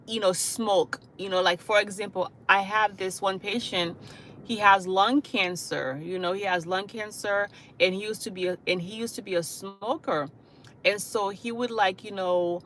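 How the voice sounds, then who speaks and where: A woman's voice: medium pitch, a bit bright, slight background noise. A woman from Haiti who works as a hospice caregiver, talking earnestly to viewers on a live stream, often checking in with "okay?" and "you know". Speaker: hospice caregiver and channel host. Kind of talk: livestream talk to viewers.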